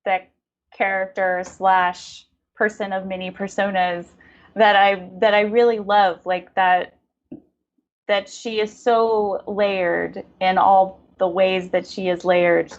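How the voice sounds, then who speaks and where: A woman's voice: lowish voice, level tone, measured pace, muffled recording. A woman interviewing in a casual literary conversation, speaking in an effusive, affirming way. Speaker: podcast interviewer.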